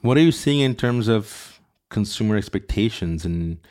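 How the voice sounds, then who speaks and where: A man's voice: lowish voice, animated, moderate pace, a little mellow. A man hosting a podcast, asking questions in a conversational interview style.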